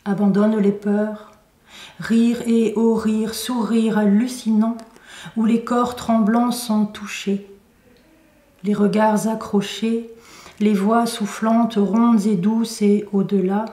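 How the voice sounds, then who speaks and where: A woman poet reading her own poetry aloud in measured, deliberate phrases with pauses. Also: mid-range voice, flat delivery, warm tone. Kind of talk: poetry recitation. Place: indoor room at a poetry venue. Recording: roomy echo, recorded from a distance.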